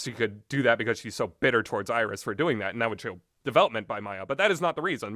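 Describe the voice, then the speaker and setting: A man giving rambling, opinionated game commentary in a blunt, exasperated, sweary and halting manner. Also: medium pitch, fairly bright tone, no room sound, clean studio sound. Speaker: video game reviewer.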